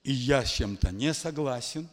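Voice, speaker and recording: A man's voice: mid-range voice, expressive, normal speed. Preaching man echoing through a hall. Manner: emphatic, dramatic swings from quiet to shouting. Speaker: pastor. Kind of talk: charismatic sermon. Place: church hall. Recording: long hall reverb.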